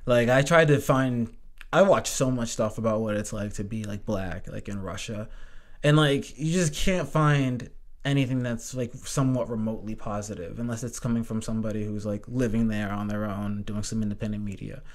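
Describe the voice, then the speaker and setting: A man's voice: fairly low pitch, normal speed. A man in casual podcast chat, rambling with constant "like" fillers and casual swearing.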